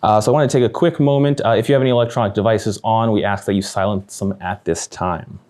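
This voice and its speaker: A man's voice: on the low side, fairly even intonation, fast talker, darkish tone. A man hosting a lecture, reading announcements and a speaker introduction, stumbling and correcting himself now and then. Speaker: event host. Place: lecture room.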